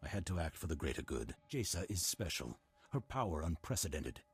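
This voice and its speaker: An actor playing a grave, self-sacrificing Jedi master in a video game, speaking in a solemn, formal, measured way. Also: male voice, deep voice, big pitch swings, slightly rough, full character voice. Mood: solemn regret. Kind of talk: scripted video game acting. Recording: music behind the voice.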